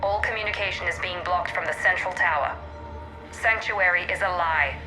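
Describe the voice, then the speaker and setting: A woman's voice: fairly low pitch, moderate pace, over music, close to an everyday voice, low-quality recording. An actress playing a stern, urgent woman broadcasting a warning in a video game, clipped and imperious.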